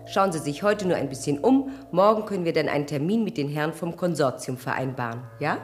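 An actress playing a helpful, chatty and welcoming receptionist at a space station in 1970s radio drama style. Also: female voice, deep voice, lively intonation, brisk, soft background music. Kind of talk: 1970s German radio play acting.

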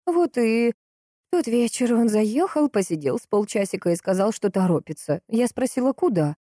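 A grieving, insistent woman from a detective audiobook, acted by a female narrator. She speaks emphatically and impatiently, pitched fairly high. The tone stays mellow and the sound dry. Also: expressive, no echo. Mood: agitated, impatient. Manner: emphatic, impatient, drawn-out stresses. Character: distraught, insistent, hot-tempered grieving sister in a crime story.

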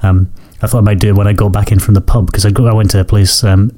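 A man hosting his own podcast, hoarse and croaky from a cold, chatting in a rambling way that keeps correcting itself. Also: lowish voice, flat delivery, quick pace, a little mellow. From Scotland. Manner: rambling, self-correcting, apologetic. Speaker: podcast host. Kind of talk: casual solo podcast chat.

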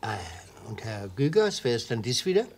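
An actor playing a wily, gleeful retired prosecutor in a German radio play, an older man with a husky voice and a florid, probing way of talking that drops into whispers. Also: medium pitch, sing-song, moderate pace, darkish tone. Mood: gleeful, sly.

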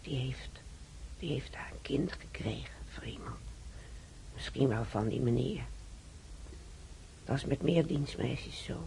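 A woman playing a needy, gossipy older hospital patient in a radio play, halting and confiding, with a creaky voice. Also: very deep voice, warm tone, whispered, heavily acted, rough recording. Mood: secretive, gossipy. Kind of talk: Dutch radio play acting.

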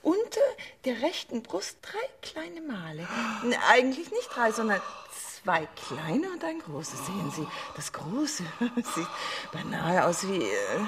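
An actress playing a coquettish, chattering queen in a German radio play, seductive and mock-innocent, slipping into breathy, intimate asides. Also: mid-range voice, huge pitch swings, slow, slight background noise, exaggerated voice. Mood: coy, chatty.

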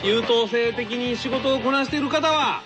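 A man's voice: very high voice, moderate pace. A man hosting a radio show, teasing and playful in casual banter. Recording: loud music under the talk.

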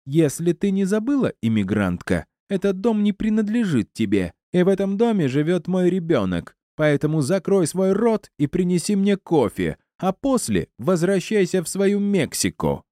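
Man putting on a shrill, spiteful villainess. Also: wildly swooping pitch, takes it slowly, hardly any pauses, dark tone, no echo, clean studio sound, exaggerated voice. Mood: spiteful, domineering. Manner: shrill, taunting, haughty. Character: spiteful haughty ex-wife, shrieking villainess.

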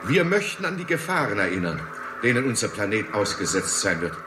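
An actor playing an earnest, serious scientist speaking formally and deliberately in a 1960s radio play. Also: male voice, fairly low pitch, big pitch swings. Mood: earnest, cautioning. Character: earnest male scientist leading urgent talks with an alien. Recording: noisy old radio play recording.

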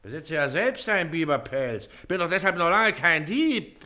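An actor in a German radio play playing a pompous, impatient Prussian magistrate who talks in an officious, dismissive way. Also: male voice, a little high, animated, moderate pace, pushed and bright. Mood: indignant.

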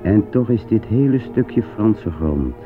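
An actor narrating a war radio play in a grave, measured, pensive manner. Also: male voice, fairly low pitch, lively intonation. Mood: solemn, pensive. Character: grave male narrator recalling a war front. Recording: tinny old radio play recording, music behind.

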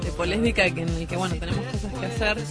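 A woman's voice: fairly low pitch, fast. A woman with a Rioplatense accent co-hosting a casual radio chat. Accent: Rioplatense Spanish. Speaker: radio show co-host. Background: music.

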